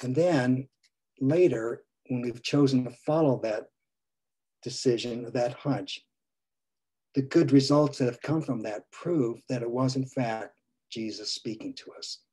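A priest in his sixties preaching a gentle sermon from a home study over a video call, his phrases trailing off softly. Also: mid-range voice, a little slow, slightly rough, low-quality recording. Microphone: video call webcam.

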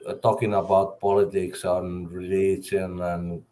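A Finnish man in his fifties chatting casually in halting English with a Finnish accent, searching for words. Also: sits low, flat delivery, warm tone, a little hiss or hum. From Finland. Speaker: livestream guest. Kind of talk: casual chat. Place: indoor room at home, video call.

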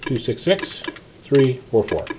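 A man narrating a step-by-step tutorial as an instructor, often in short, halting instructional phrases. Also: medium pitch, big pitch swings. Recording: tinny phone-like audio, clear noise.

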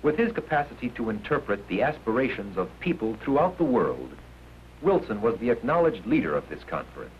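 A man giving formal, measured, emphatic scripted narration in the manner of a 1950s educational film. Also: medium pitch, very animated. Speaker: documentary narrator. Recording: dull, noisy 1950s film soundtrack.

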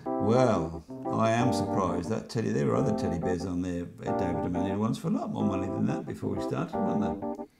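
Creaky older English man, low-pitched. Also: expressive, a little fast, over loud music. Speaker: host's father, co-presenter. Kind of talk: casual chat. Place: home kitchen, later leafy garden. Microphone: lapel.

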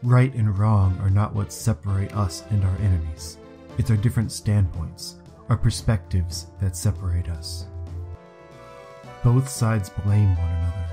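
A man's voice: lowish voice, unhurried, music in the background, close to an everyday voice. A man voicing a stoic, guarded young mercenary squad leader in a video game fan dub, speaking in a serious, matter-of-fact way. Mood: brooding.

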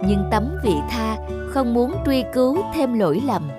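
A woman's voice: a little high, animated, a little slow. A woman narrating a fairy tale from a script as storyteller. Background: music.

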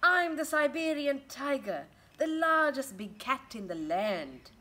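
A woman voicing a ferocious, boastful Siberian tiger in a storybook, with menacing, drawn-out emphasis. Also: sits high, very animated, bright, forceful tone, pitched above the performer's own voice, full character voice. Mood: menacing boasting.

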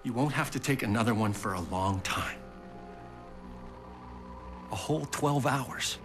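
A man's voice: mid-range voice, unhurried, close to an everyday voice. An actor playing a rugged, protective father in his thirties in a video game, earnest and volatile. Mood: gentle, reassuring. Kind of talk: video game cutscene acting. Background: music.

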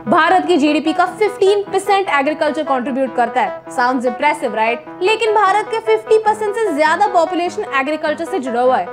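A woman co-presenting a scripted explainer with a clear, informative delivery. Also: high-pitched, expressive, normal speed, hardly any pauses. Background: background music.

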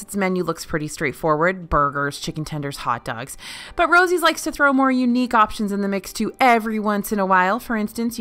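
A woman narrating a chatty food review, wry and opinionated. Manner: animated, wry and opinionated, jumps between high and low pitch. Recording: faint music behind.

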